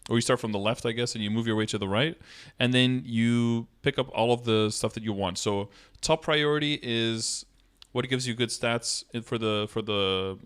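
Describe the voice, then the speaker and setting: A man in his thirties with a medium-pitched voice talks on a live stream. He explains things casually, step by step, as he answers viewers, close to the mic in a room. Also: fairly even intonation, moderate pace, few pauses, fairly bright tone, clean tone. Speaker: game streamer. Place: indoor room, streaming setup.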